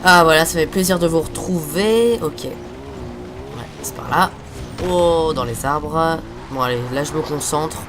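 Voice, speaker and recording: A man's voice: on the high side, a little slow, gravelly, music in the background. A teenage boy with a cold, hosting a casual let's-play and rambling on while swearing at the game. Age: teens. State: has a cold, stuffy. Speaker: gaming video host.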